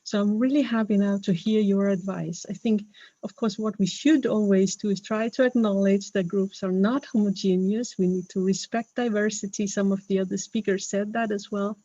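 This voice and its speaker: A woman's voice: mid-range voice, steady tone, moderate pace, warm tone, no room sound. Earnest Danish woman, muffled call audio. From Denmark. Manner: earnest, hesitant, with many fillers and restarts. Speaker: webinar speaker, health professional. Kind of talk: webinar talk.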